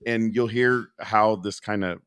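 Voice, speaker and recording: Animated storytelling man in his forties. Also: on the low side, a little slow, smooth, dry recording. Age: 40s. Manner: animated storyteller, acts out quoted voices. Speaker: podcast co-host. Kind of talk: casual podcast chat.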